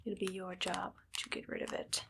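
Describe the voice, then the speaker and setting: A woman playing a menacing, irritable evil scientist in an ASMR roleplay, whispering bossy orders and deadpan threats with a breathy, creaky edge. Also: lowish voice, normal speed, very noisy, natural voice. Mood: businesslike, ominous.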